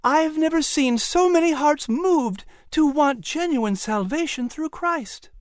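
A woman's voice: high voice, animated, a little slow, dry recording, studio quality, pitched above the performer's own voice. A woman playing a spirited, devout colonial mother in a children's story, speaking emphatically. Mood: passionate, defensive.

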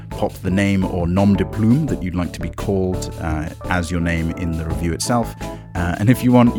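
A man with a lowish voice hosts and narrates as game master. He is playful and casual with a theatrical streak, over clearly audible music. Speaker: game master, podcast host. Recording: music under the voice.

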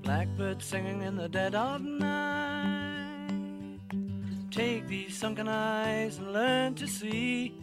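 A woman singing a slow song with guitar accompaniment. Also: fairly high pitch. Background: guitar music.

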